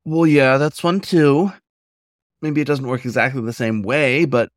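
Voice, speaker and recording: A man's voice: mid-range voice, unhurried, no room sound, clean studio sound, lightly acted. A man voicing a nonbinary werewolf cook in a story, an earnest, emphatic explainer with a colloquial way of talking. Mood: emphatic, explaining.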